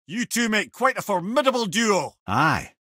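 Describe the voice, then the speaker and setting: A man's voice: high-pitched, big pitch swings, moderate pace, sharp tone, no room sound, studio quality, heavily acted. An actor playing a witty, learned old male talking head in a video game, with a Scottish accent and a wry, wordy, old-fashioned storytelling manner. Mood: wry, companionable. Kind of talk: video game character dialogue, storytelling and battle callouts.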